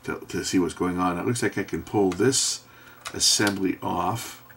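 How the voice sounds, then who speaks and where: A man giving casual hands-on repair commentary, thinking aloud and hesitating as he works, with a gravelly voice. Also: low voice, normal speed, fairly bright tone. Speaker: host repairing electronics. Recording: background noise with handling clicks.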